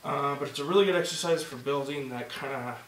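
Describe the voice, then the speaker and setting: A man teaching a movement drill step by step, encouraging and repeating himself, slightly hoarse and short of breath. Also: medium pitch, fast, roomy sound, recorded from a distance, a little hiss or hum, poor audio. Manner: encouraging, repeats "just like that" and "good". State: losing his voice, a bit out of breath. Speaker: instructor. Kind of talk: step-by-step demonstration lesson.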